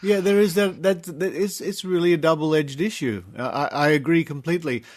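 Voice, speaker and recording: Aussie guy hosting a podcast interview, slightly higher voice, chatty and conversational. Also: normal speed. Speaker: podcast host.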